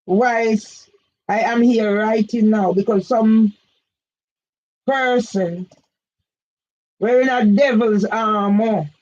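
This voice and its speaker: An older woman with a Jamaican accent, delivering an agitated, repetitive monologue for her own channel that rises now and then into shouts. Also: a little high, steady tone, slow pace, pauses often. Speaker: vlogger. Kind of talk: impassioned monologue. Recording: dull lo-fi audio.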